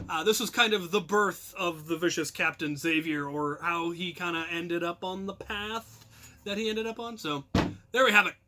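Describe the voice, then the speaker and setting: A man telling casual anecdotes to his viewers as a channel host, rambling and self-deprecating with animated emphasis. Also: high-pitched.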